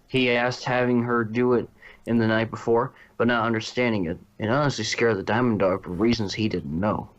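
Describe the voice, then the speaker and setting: Low-voiced male story narrator, slightly muffled. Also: fairly even intonation, fairly quick. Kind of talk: narrated fan fiction reading.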